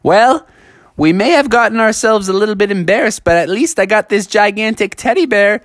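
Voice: mid-range voice, animated, normal speed, dry recording, studio quality, voice pushed up, close to an everyday voice. A man voicing a demanding young female friend of a frog in a children's story. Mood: content.

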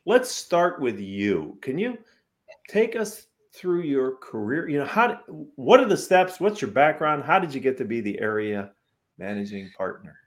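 A man's voice: medium pitch, big pitch swings, moderate pace. Male talk show host asking questions. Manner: brief encouraging prompts. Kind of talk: interview hosting.

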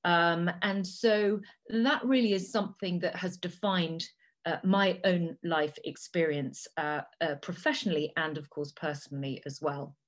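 A middle-aged woman who grew up in Italy and speaks Italian natively delivers a prepared talk. Her voice sits a little low, and she moves along in a measured way, leaning on small fillers. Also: steady tone. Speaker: guest speaker.

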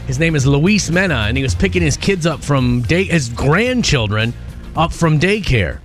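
A man's voice: on the high side, animated, moderate pace, soft background music. A man co-hosting a radio show, telling stories in an informal, conversational way. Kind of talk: casual radio talk, telling a news story.

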